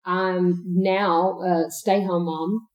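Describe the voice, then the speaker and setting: A woman's voice: fairly low pitch, level tone, drawn-out words, muffled recording. A woman in her forties from East Texas, a guest answering interview questions in a matter-of-fact way. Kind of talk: casual interview.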